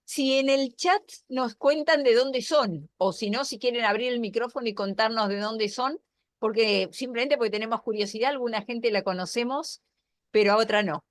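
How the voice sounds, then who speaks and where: A woman's voice: a little high, normal speed, dry recording, studio quality. A woman with an Argentine accent, a pastor hosting an online Bible class, explaining in a thoughtful way with fillers and restarts over a video call. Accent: Argentine Spanish.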